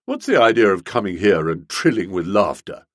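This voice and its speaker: A man voicing a flustered, pompous young English gentleman writer in an audiobook, wordy and self-justifying, with affectionate upper-class slang. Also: mid-range voice, wildly swooping pitch, unhurried, slightly rough, no echo, clean studio sound, heavily acted. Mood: stern, disapproving.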